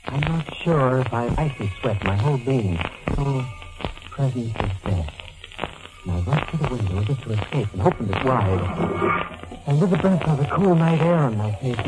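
An actor playing a nervous, desperate murderer husband, agitated and haunted, in 1940s radio melodrama style. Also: male voice, medium pitch, natural voice, poor audio. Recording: old 1940s radio recording.

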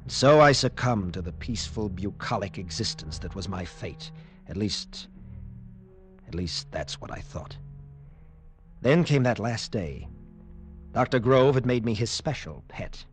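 Haunted, low-voiced captive lieutenant. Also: male voice, animated, soft background music. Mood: bitter, resigned. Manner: intense, grave, dramatic pauses. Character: haunted, defiant escaped captive lieutenant telling his ordeal. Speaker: narrator and protagonist. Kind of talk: dramatic first-person radio narration, 1940s-50s.

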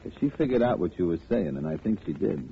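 An actor playing a curt, calculating crooked gambler in a 1940s radio crime drama, talking in tough slang. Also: male voice, deep voice, very animated, fast talker, creaky, heavily acted. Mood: scheming. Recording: muffled, noisy old radio recording.

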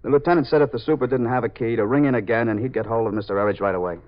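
A man's voice: mid-range voice, steady tone, very fast, natural voice. An actor playing a dutiful patrolman in a 1950s radio drama, reporting in a deferential, matter-of-fact way. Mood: businesslike. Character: brisk, dutiful male patrolman reporting to superior. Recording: dull 1950s radio broadcast recording.

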